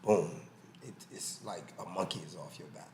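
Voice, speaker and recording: A man's voice: low-pitched, normal speed, a little mellow. A man speaking casually and emphatically as a boxing coach and podcast guest, telling personal stories and explaining with examples. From born in the US, early childhood in Haiti, then raised in New York. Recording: echoey room with faint background noise.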